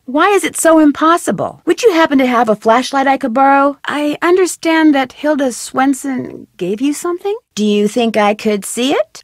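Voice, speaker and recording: A plucky young girl detective from a video game, inquisitive and friendly, as a woman acts her out, with a fairly high, lively voice. She is recorded close and dry in a studio. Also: dry recording, lightly acted. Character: young female amateur detective, curious and upbeat. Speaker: game protagonist and tutorial guide.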